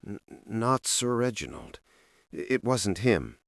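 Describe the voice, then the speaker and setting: A man voicing an injured guard who has just come round, pained and halting in his speech. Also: fairly low pitch, sing-song, vocal fry, dry recording, studio quality, heavily acted. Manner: halting, stammering.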